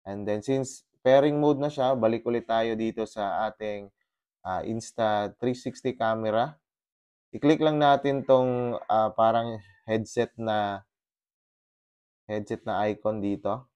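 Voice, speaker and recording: A man's voice: lowish voice, unhurried, pauses often, smooth. A Filipino man showing step by step how to pair his gear, mixing Tagalog and English with many fillers, speaking into a helmet intercom mic on his motorcycle. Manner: mixes Tagalog and English, steady explaining with fillers. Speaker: motovlogger. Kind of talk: casual tutorial vlog. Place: on a motorcycle, outdoors in a subdivision. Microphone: intercom headset inside a helmet.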